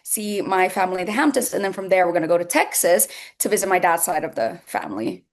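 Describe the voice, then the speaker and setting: A woman's voice: on the low side, expressive, quick pace. A chatty young woman from Finland, a native Swedish speaker, talking as a guest singer in a casual interview over a remote call. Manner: chatty, laughs easily.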